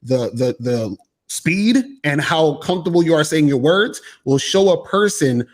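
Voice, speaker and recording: A man's voice: a little high, expressive, unhurried, darkish tone. A man in casual debate, pressing the same point over and over with rephrasing and restarts. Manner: insistent, repeats himself. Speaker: dating coach. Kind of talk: argumentative casual chat.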